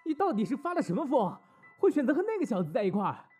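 A man's voice: very high voice, sing-song, fast talker, a little mellow, husky, faint music behind, full character voice. A man playing a proud, wounded ex-boyfriend in an audio drama, emotional and accusing. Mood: angry, scornful.

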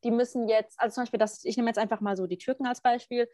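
Opinionated young woman, fairly high dry voice. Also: steady tone, fast talker, mellow, dry recording. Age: young adult. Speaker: participant in a group talk. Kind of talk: casual opinionated chat, youth slang.

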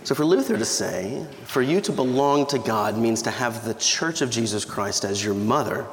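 Scratchy-voiced pastor in an echoey church. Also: male voice, medium pitch, expressive, moderate pace. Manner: conversational, humorous, with restarts. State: scratchy voice from allergies. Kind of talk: sermon. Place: church sanctuary. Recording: echoey church hall with reverb.

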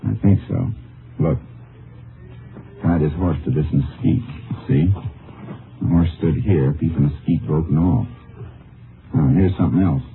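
An actor playing a steady, methodical Texas Ranger in a 1950s radio drama, speaking in a terse, matter-of-fact way. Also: male voice, extremely low pitch, unhurried, creaky, long pauses, exaggerated voice. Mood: intent, reasoning. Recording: lo-fi 1950 radio broadcast, music underneath.

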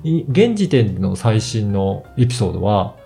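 A man's voice: lowish voice, sing-song, moderate pace, vocal fry, dark tone, faint music behind. A man co-hosting a podcast, explaining things conversationally in a polite manner. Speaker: podcast co-host.

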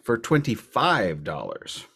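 Man with lively, swooping intonation chatting casually. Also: on the low side, takes it slowly, creaky, breathy edge. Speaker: podcast host. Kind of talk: casual music chat.

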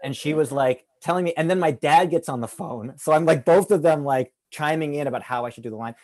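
A man's voice: fairly high pitch, a little fast, a little mellow. A man on a film panel telling a story in a loose, rambling way, filling his talk with "like". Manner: rambling, heavy use of "like". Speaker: actor on a panel. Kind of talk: casual storytelling.

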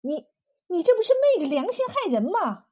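A woman's voice: high-pitched, big pitch swings, moderate pace, a little mellow. A woman storyteller voicing a kind, outspoken village wife who speaks in earnest exclamations. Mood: indignant.

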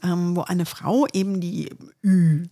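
Slightly low, raspy woman in casual conversation. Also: fairly even intonation, takes it slowly, warm tone. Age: 50s. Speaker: podcast co-host. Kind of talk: casual chat between friends.

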